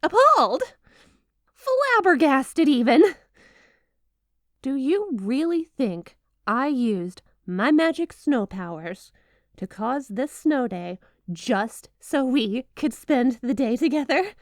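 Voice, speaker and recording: A flirty, possessive snow-magic wife, played by a woman in an intimate ASMR roleplay. Her voice sits fairly high and swings expressively between coaxing sweetness and theatrical drama. The sound is close and dry, as if spoken right to the listener. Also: sing-song, slow pace, dry recording, heavily acted. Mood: mock indignant.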